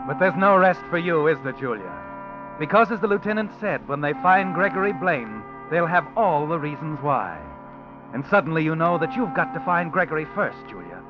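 A man's voice: a little high, lively intonation, normal speed, lightly acted. A man narrating a radio drama in an intense, insinuating second-person style, on a muffled old radio recording with music underneath.

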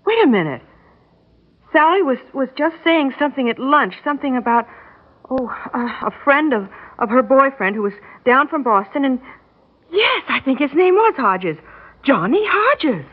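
An actress playing a skeptical young secretary in a radio drama, hesitant and stammering, on a muffled old recording. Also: female voice, high-pitched, big pitch swings, normal speed, slightly rough, full character voice. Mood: astonished, wavering. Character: skeptical, flustered young woman secretary phoning the future. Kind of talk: classic radio drama acting.

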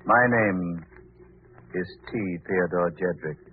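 An actor playing a sinister, coldly imperious gentleman stranger in old-time radio drama, speaking formally and ominously. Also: low voice, takes it slowly. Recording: muffled, noisy old radio recording.

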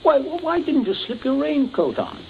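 A man's voice: very high voice, very animated, a little slow, creaky, heavily acted. An actor playing a genteel, middle-aged father in a 1940s radio drama, suave and commanding, coldly menacing under false cheer. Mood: false geniality. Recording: muffled, noisy 1940s radio recording.

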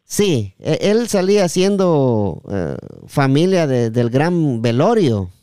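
A man hosting a casual interview, halting and often seeking agreement with tag questions. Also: on the high side, sing-song, warm tone, smooth, no room sound, clean studio sound. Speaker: podcast host.

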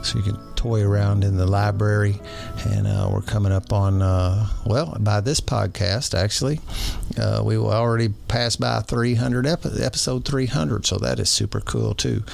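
A man's voice: on the low side, fairly even intonation, moderate pace, creaky, a little mellow, faint music behind. A man from Indiana hosting a recovery podcast, talking in a rambling, casual way with plenty of fillers and restarts. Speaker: podcast host.

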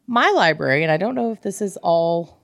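A woman with an American accent and a fairly low voice talks casually as a podcast co-host. She is blunt and irreverent, joking as she goes. The sound is dry, with little room around it. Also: expressive, brisk, creaky, dry recording. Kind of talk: casual book club chat.

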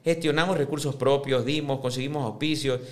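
Fifties man, measured and repetitive. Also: mid-range voice, fairly even intonation. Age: 50s. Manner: measured, repeats words and restarts while building points. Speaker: club presidential candidate. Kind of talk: podcast interview answers.

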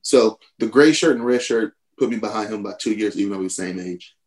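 Laid-back man telling stories, low voice. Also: fast. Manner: animated, laughing, jumps high when quoting himself. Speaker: podcast guest, former college football player. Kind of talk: casual storytelling.

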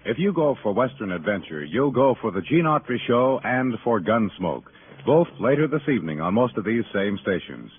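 A man's voice: on the low side, big pitch swings, moderate pace, a little hiss or hum. A man announcing in polished, formal 1950s radio style, reading a scripted promo emphatically. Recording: muffled old radio broadcast with music.

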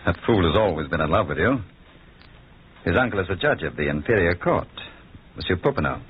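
An actor playing a cold, calculating villain in a 1940s radio drama, with a husky, rough voice. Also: male voice, low-pitched, sing-song, a little fast, exaggerated voice. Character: cold, calculating male schemer, smooth villain. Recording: muffled old radio recording.

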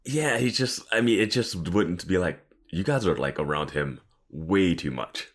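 A man's voice: sits low, moderate pace. A man co-hosting a podcast, commenting informally on a TV episode and given to chuckling as he talks. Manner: informal, laughs often as he talks. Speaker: podcast co-host. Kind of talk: casual chat, TV episode commentary.

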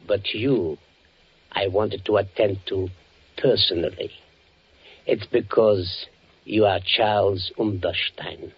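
An actor playing a menacing, gloating Gestapo captain with a husky, deliberate delivery in a 1940s radio drama. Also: male voice, fairly low pitch, exaggerated voice. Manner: slow, deliberate, sinister. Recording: muffled old 1940s radio recording.

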